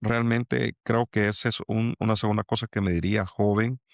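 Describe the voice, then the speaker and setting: A middle-aged man from El Salvador with a Salvadoran accent, speaking as a guest in an interview and pausing to restart his thoughts. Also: fairly low pitch, steady tone, gravelly, dry recording. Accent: Salvadoran Spanish. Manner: halting, many restarts and fillers. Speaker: angel investor guest. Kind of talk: reflective interview talk.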